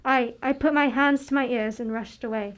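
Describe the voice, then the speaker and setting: A woman playing a distressed but dignified young governess in a radio drama, halting and emotional. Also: a little high, fairly even intonation, lo-fi sound, lightly acted. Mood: anguished.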